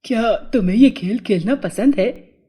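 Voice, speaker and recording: A woman's voice: fairly high pitch, moderate pace, mellow, breathy edge. Woman as a friendly, forthright little boy. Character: friendly, helpful young village boy.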